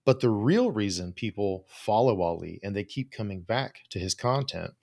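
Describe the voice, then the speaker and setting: A man with a fairly low voice gives advice on his own as a host and coach. His delivery is informal and conversational. He is blunt and no-nonsense, and drops swear words casually as he talks. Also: very animated, normal speed, gravelly, darkish tone. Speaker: host, psychologist and business coach for creators. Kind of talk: informal solo advice talk.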